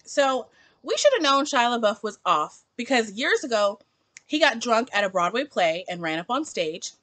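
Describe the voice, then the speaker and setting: Twenty-something woman vlogging her opinions, bright voice that jumps all over, says like a lot. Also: a little high, moderate pace. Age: 20s. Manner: animated, emphatic, heavy use of "like". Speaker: book vlogger. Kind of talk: casual opinion vlog.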